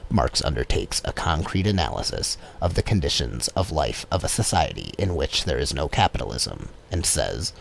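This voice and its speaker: A man reading a political text as an audiobook in a measured, formal way. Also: rumbling low, sing-song. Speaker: audiobook reader. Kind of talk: scripted narration of a political text. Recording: clear background noise.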